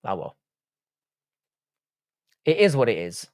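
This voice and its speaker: A man's voice: medium pitch, huge pitch swings, slow. A man hosting a casual livestream, reading chat aloud and bantering, swearing freely.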